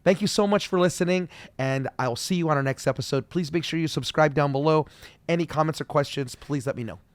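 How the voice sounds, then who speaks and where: A middle-aged man teaching as a vocal coach, friendly and explaining slowly with demonstrations. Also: on the high side, no echo. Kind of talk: solo video voice lesson.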